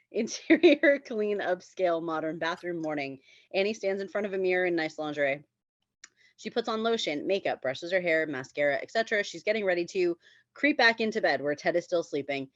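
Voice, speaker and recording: A woman's voice: fairly low pitch, animated, moderate pace, no room sound, muffled recording. A woman reading screenplay stage directions as the narrator of a table read.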